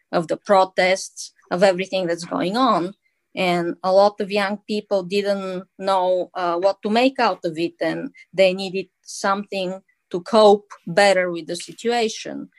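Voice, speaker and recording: A woman with a Bulgarian accent talks through her answers as an interviewed author. She is earnest and measured, pausing often on small fillers and restarting her sentences. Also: mid-range voice, dry recording. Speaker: author and guest.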